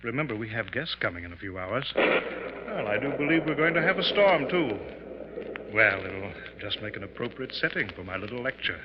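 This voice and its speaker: An actor playing a suave, sinister professor, smooth, condescending and theatrical in the manner of 1950s radio mystery. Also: male voice, mid-range voice, sing-song, moderate pace, lightly acted. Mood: falsely soothing, ominous. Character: suave sinister professor, menacing husband of a rich wife. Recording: muffled, noisy 1950s radio recording.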